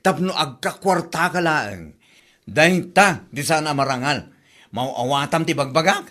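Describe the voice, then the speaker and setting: A man acts out a gossipy, mocking busybody for a radio comedy drama. The voice sits high and swings wildly up and down. The delivery is theatrical, teasing and full of exclamations. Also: animated, unhurried, a bit bright. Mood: taunting.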